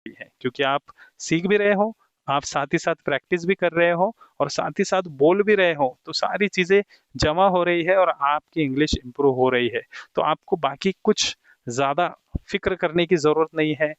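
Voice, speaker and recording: A man teaching English in Hindi who reads the English example sentences slowly and deliberately and keeps calling his viewers friends. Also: fairly high pitch, smooth, no room sound, lo-fi sound. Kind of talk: explaining a textbook lesson to viewers.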